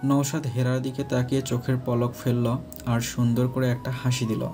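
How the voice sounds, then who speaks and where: Guy narrating a love story, soft dark voice, pretty even tone, light music behind. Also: medium pitch. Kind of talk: scripted romantic story narration.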